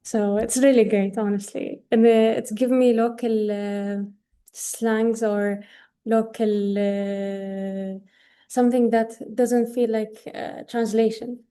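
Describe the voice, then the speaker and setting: A woman's voice: on the high side, level tone, takes it slowly, warm tone. A woman giving a screen-share demo on a video call. She talks hesitantly, with many fillers, and slips in Arabic words. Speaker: workshop presenter.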